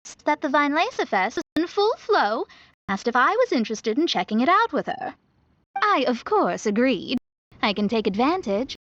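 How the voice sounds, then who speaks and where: A woman's voice: high-pitched, a bit bright, no room sound. A woman voicing a poised, proud young astrologer in a video game, articulate and explanatory. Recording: slightly dull, dry studio audio.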